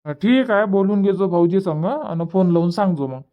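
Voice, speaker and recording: A man's voice: high-pitched, animated, brisk, narrow, tinny audio. A man voicing an easygoing young village son in a cartoon comedy, chatty and colloquial, with a rural Vidarbha Marathi accent. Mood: casual, reassuring.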